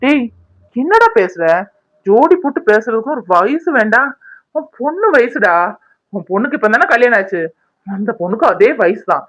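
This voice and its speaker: A woman's voice: fairly high pitch, animated, normal speed, pauses often, fairly bright tone, poor audio. A woman acting a fierce, scolding village woman in a drama, with a confrontational, threatening manner. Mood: angry scolding. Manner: confrontational, threatening, rhetorical questions.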